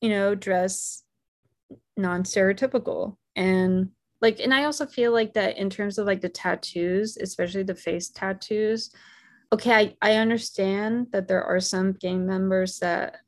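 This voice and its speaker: A woman's voice: mid-range voice, steady tone, measured pace. A woman from the United States talking casually about a film, filling her sentences with "like" and "you know" and often restarting them. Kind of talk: casual conversation.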